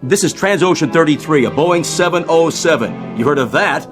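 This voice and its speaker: An actor playing a wisecracking, breezy airline radio officer in a radio drama. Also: male voice, fairly high pitch, animated, moderate pace, over music, lightly acted. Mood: sardonic. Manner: sardonic, breezy.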